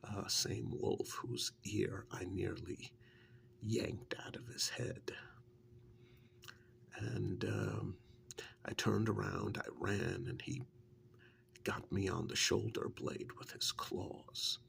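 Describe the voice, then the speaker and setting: A man playing a witch's assistant in an ASMR role-play, softly and haltingly confiding his rueful, easygoing stories in a breathy, gravelly half-whisper. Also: on the low side, steady tone, heavily acted. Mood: rueful, recounting. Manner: halting, unhurried, confiding, understated.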